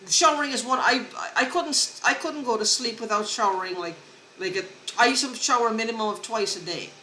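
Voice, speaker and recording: A woman talking to camera in a rambling personal monologue, halting, restarting and repeating words, earnest and insistent. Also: a little high, bright, forceful tone, slight background noise. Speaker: vlogger. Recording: echoey room recording.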